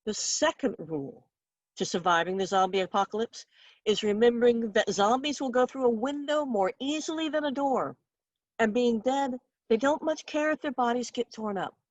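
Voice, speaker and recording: A woman reading her own short story aloud in an expressive, wry and dramatic storytelling manner. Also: fairly high pitch, normal speed, no room sound, low-quality recording.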